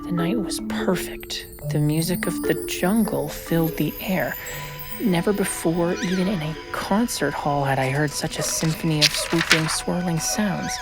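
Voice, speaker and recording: Brief: self-important kid detective, middle-school age, lower register, earnest and bossy, formal delivery, audio drama with prominent music. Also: female voice, measured pace. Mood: grand, reading aloud. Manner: formal, precise wording, pompous.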